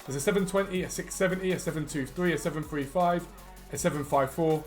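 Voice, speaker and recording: Brief: man, hoarse from losing his voice, fairly high-pitched and rapid, casual tutorial delivery over faint music. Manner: quick, casual, reads out prices in runs. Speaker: gaming video creator. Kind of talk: screen-recorded gaming tutorial.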